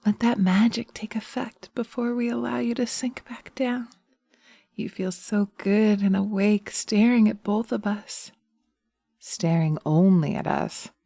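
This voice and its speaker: Woman playing a sly, mellow, seductive hypnotist witch. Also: medium pitch, creaky, dry recording. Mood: seductive, hypnotic. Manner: slow coaxing, soothing, intimate, often slipping into whispers. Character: sly, seductive, vengeful female witch casting a hypnotic spell. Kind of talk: hypnosis roleplay audio. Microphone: close binaural mic, dry.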